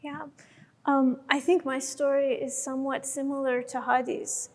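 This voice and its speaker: A woman from Iran speaks on an art panel in a measured way, her voice set high. The sound rings out with long reverb in a gallery space. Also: level tone. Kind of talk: panel discussion.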